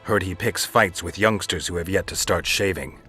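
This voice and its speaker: A man playing a stoic, wry mercenary monster hunter in a fantasy video game, terse and deadpan. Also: low voice, moderate pace, slightly rough, full character voice. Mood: dry, wry. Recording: music behind the voice.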